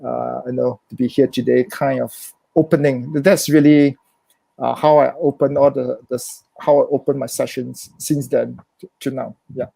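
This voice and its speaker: Gravelly, hesitant man, Chinese native speaker. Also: mid-range voice, level tone, moderate pace, mellow, no echo, low-quality recording. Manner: hesitant, thoughtful, many restarts. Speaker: interview guest, social worker. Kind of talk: reflective conversational interview.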